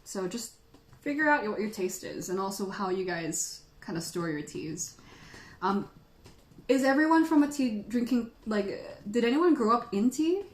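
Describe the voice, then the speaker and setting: Woman tea host from the Philippines, chatty and giggly, roomy echo around her, everyday pitch. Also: animated, normal speed. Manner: chatty and playful, laughs often. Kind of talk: casual livestream chat answering viewers. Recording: echoey room.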